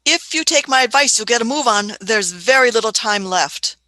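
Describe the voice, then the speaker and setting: A woman's voice: a little high, expressive, normal speed, bright, forceful tone, no room sound. A woman in a radio play acting an eccentric, imperious older time traveller who is fussy, hesitant and repeats her phrases. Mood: brisk, businesslike.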